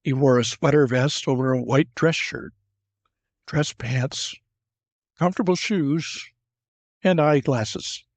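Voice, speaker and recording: A man's voice: medium pitch, slow pace, long pauses, no echo. A man narrating a scripted fantasy adventure in a dramatic storytelling style. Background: cinematic music.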